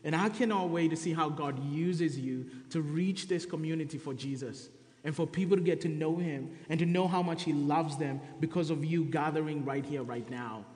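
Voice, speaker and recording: A man's voice: fairly high pitch, normal speed, a little mellow. Kenyan male preacher in his thirties, earnest testimony, roomy sanctuary sound. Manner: earnest testimony, reading scripture aloud. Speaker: guest preacher. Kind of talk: sermon. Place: church sanctuary. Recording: echoey church hall.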